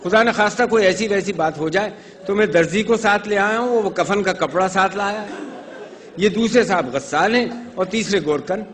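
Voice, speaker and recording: A man's voice: high voice, moderate pace, a crowd in the background, voice pushed up, natural voice. A man voicing an over-literal servant in a comic story, explaining himself earnestly and respectfully. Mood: earnest, eager. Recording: noisy reverberant hall recording.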